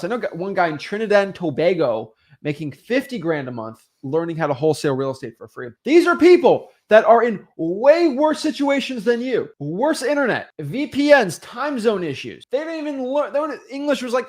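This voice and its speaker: A young man hosts a webinar with a fairly high, lively voice. He talks emphatically and keeps acting out other people's excuses in mocking voices. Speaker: real estate webinar host. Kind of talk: motivational webinar talk.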